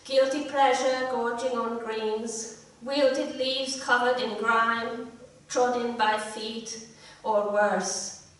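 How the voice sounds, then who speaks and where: Slow woman poet, echoey room. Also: fairly high pitch, fairly even intonation. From Hungary. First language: Hungarian. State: slightly croaky from illness. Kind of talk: live poetry reading with short spoken introductions. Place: indoor live event room. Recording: echoey room, distant mic.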